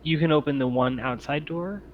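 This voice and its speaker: A man acting as game master, describing scenes and giving rulings in a measured, explanatory way with pauses. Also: fairly high pitch. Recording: dull home recording, background noise.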